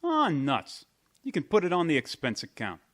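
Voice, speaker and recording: Guy doing a cocky chiseler chauffeur, streetwise slang, highish voice that jumps all over, old radio mystery. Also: huge pitch swings, moderate pace, a crowd in the background. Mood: bargaining. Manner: streetwise wise-guy talk, cocky, ungrammatical. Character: brash, slangy male chauffeur and bodyguard, crafty small-time chiseler.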